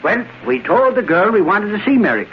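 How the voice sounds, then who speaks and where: An excitable Irish newspaperman in a vintage radio play, high and swooping in pitch. He rambles and blusters his way through every report. The sound is muffled, like an old broadcast. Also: a little fast, a little hiss or hum. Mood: eager, garrulous. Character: excitable, long-winded Irish newspaper reporter, adult man. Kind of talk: 1930s-40s radio drama acting.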